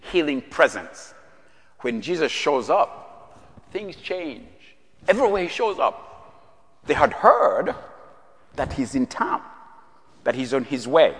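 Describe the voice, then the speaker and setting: A man's voice: mid-range voice, fairly bright tone. Middle-aged male prison chaplain from Uganda, earnest sermon with rhetorical questions, echoing church. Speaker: prison chaplain preaching as guest.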